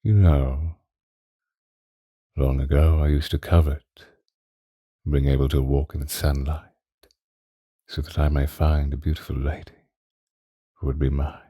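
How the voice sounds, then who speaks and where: Man playing a very deep, doting vampire lover. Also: little pitch movement, dry recording, clean studio sound. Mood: wistful, adoring. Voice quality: very deep, dark, husky, breathy, often whispering. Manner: slow, intimate, old-fashioned romantic wording. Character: possessive, doting ancient male vampire lover. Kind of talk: ASMR roleplay monologue. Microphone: close microphone, dry.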